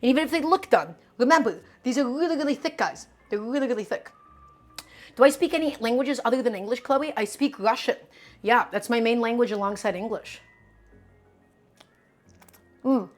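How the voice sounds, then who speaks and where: Young woman, cooking streamer, higher-pitched voice, chatty, upbeat, calls viewers "guys", faint background music. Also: normal speed, pauses often. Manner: upbeat, hypes up the audience. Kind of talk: live cooking stream, reading chat.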